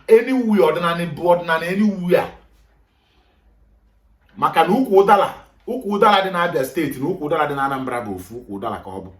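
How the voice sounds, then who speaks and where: A man with a Nigerian Igbo accent lectures a group with emphatic, insistent delivery. He switches between English and Igbo, and his voice sits a little high. He sounds distant from the mic in an echoey room. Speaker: speaker on culture. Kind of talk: lecture-style talk to a group.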